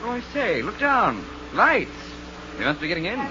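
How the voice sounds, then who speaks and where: An actor playing a polite, dryly teasing British adventurer in an old radio serial, with mannered speech. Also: male voice, high-pitched, wildly swooping pitch, normal speed. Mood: surprised. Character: polite, droll young Englishman adventurer. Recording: dull, noisy old radio recording.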